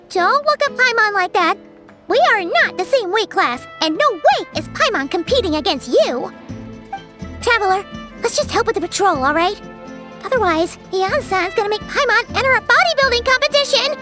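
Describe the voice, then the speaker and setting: A woman voicing a tiny, excitable fairy companion who talks about herself in the third person, in video game dialogue. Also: very high-pitched, moderate pace, exaggerated voice. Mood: panicked. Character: tiny floating fairy companion, chirpy, excitable, self-centered. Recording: game soundtrack music behind.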